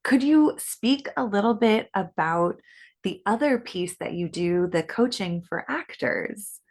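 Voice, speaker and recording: A woman's voice: medium pitch, expressive, measured pace, darkish tone. A woman hosting a creativity podcast, upbeat and encouraging as she introduces and questions her guest. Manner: upbeat and encouraging, laughs easily. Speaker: podcast host.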